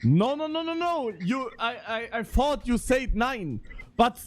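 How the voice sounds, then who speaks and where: High-voiced excitable young man. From Luxembourg. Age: 20s. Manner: excitable, repeats words in quick bursts. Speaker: streamer playing Roblox. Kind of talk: playful casual voice-chat banter.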